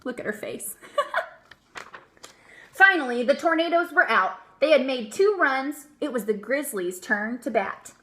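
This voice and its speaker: Female storybook reader: high voice, very animated, expressive children's narration. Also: a little slow. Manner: expressive, emphatic. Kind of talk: animated children's read-aloud.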